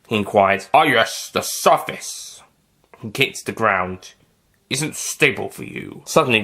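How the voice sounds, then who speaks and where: A man's voice: lowish voice, a bit bright. A man reading scripted audiobook narration as the narrator of a story.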